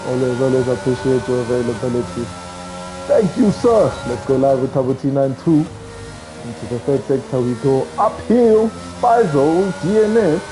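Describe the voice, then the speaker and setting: A man commentates a sim race live from his home mic, chatty and quick to get excited. His voice sits at a middle pitch, and he talks to viewers in the chat. Loud music and engine sounds from the game play behind him. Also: rough recording. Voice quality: says he has a loud voice. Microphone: home streaming mic.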